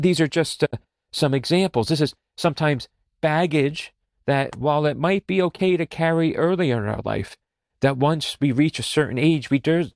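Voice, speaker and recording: A man hosting a podcast, talking in a gentle, reflective way with lots of "you know" fillers. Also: mid-range voice, creaky, no room sound, studio quality. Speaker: podcast host. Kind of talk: reflective spoken talk.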